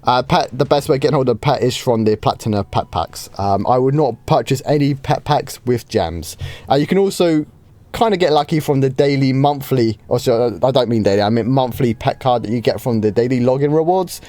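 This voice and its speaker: A man, a bit hoarse from a starting cold, easily answering viewer questions on a live game stream. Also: mid-range voice, animated, a little fast, warm tone, no echo. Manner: chatty, easygoing, with frequent ums and light laughs.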